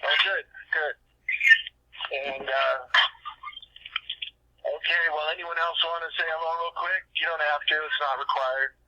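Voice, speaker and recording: Bright, forceful man on a dull phone line. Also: fairly high pitch, steady tone, a little slow, long pauses. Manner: rambling, repeats himself. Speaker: host and business owner leading a conference call. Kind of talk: informal business pitch on a group call.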